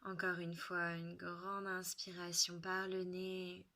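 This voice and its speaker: Woman doing guided meditation, super slow n soothing, bright crisp tone, soft breathy phrase endings. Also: fairly low pitch, completely flat delivery. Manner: soothing, unhurried, with long pauses. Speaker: yoga relaxation guide.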